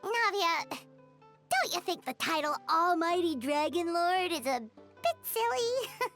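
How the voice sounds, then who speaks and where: A tiny cheeky fairy sidekick in a video game. It sounds squeaky and childlike, extremely high, with drawn-out words. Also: female voice, animated, fairly bright tone, soft background music, full character voice. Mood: cheeky, doubtful. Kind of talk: video game character acting.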